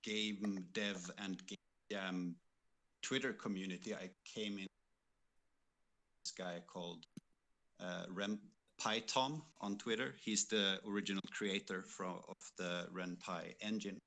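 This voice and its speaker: Male game developer, lower register, halting delivery, informal online livestream conversation. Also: steady tone, vocal fry, bright, forceful tone. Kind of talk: casual livestream chat. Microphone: computer microphone on an online call with noise suppression.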